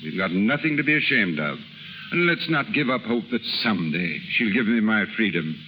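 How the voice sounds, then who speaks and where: An actor playing a commanding, ardent middle-aged shipping magnate in love, in 1930s radio drama style. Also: male voice, on the low side, expressive, moderate pace, natural voice. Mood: tender, grave. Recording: muffled, noisy 1930s radio broadcast.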